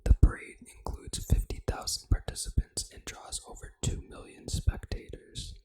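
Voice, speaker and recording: An ASMR host reading aloud in a hushed, fully unvoiced whisper, with deliberate phrasing. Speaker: ASMR gaming channel host.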